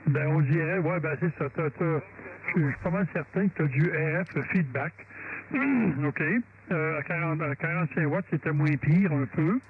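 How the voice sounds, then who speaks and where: A man with a Quebec French accent chatting casually and haltingly over amateur radio about technical matters. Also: fairly high pitch. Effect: radio transmission. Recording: thin narrowband radio link, clear static noise.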